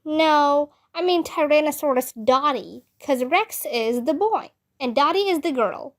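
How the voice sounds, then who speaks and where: A woman plays a small, feisty kindergarten girl from a storybook, high in pitch. The child is chatty and literal-minded, over-explaining everything in a slow, childish way. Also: expressive. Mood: matter-of-fact.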